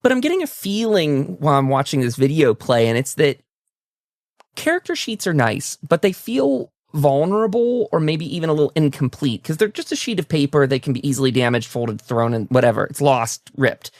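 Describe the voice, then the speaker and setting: A man's voice: fairly high pitch, sing-song, a little fast, dry recording, studio quality. A man hosting a casual interview, talking in an effusive, musing way. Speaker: talk show host, interviewer.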